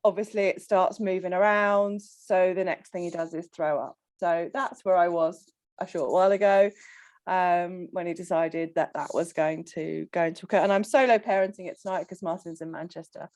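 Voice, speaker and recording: A woman on a casual coaching call, croaky from illness, chatting with frequent ums and laughs. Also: fairly low pitch, fairly even intonation, normal speed, a little mellow, no echo. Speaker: coaching client.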